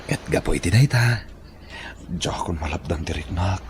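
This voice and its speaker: A man acting an earnest, lovestruck suitor in a Filipino radio drama, with an Ilocano accent and an expressive, wooing manner. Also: low-pitched, moderate pace, slight background noise, natural voice. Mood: tender, earnest. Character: lovestruck, earnest adult suitor named Ricky.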